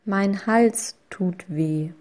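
A woman reading short phrases slowly and clearly, saying each one twice for learners. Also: mid-range voice, a little mellow. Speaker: language lesson voice. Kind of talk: slow repeated phrase reading.

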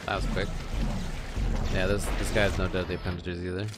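A man's voice: low-pitched, little pitch movement, a little fast, very noisy. A young man giving laid-back, joking, slangy commentary in casual gameplay chat. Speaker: gaming commentator. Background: loud game music and sounds.

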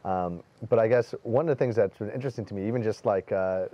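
A man's voice: lowish voice, quick pace, lo-fi sound. A middle-aged man hosting an outdoor interview, talkative and enthusiastic, sharing anecdotes between his questions. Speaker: host. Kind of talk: casual interview chat. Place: outdoor terrace with garden.

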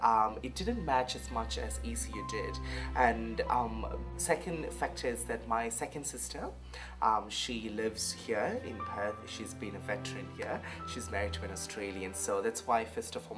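A young man in his twenties from Malaysia with a Malaysian accent, speaking haltingly in a documentary interview, often searching for words. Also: fairly low pitch. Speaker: student interviewee. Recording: music bed under voice. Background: music.

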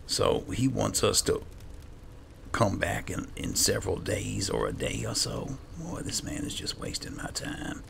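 A man's voice: sits low, big pitch swings, unhurried, gravelly, noisy background. A man doing casual in-character roleplay commentary over a video game, muttering to himself as he plays. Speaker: gaming video host playing in character. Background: video game ambience.

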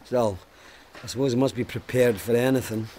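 A man's voice: mid-range voice, steady tone, brisk, warm tone, slight background noise, natural voice. An actor playing a stern, pious and haunted police sergeant in an audio drama, formal and emphatic in his speech. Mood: brooding.

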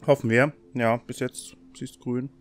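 A man narrating a tabletop game as game master in an informal, halting way. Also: medium pitch, fairly even intonation. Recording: online voice call, background music.

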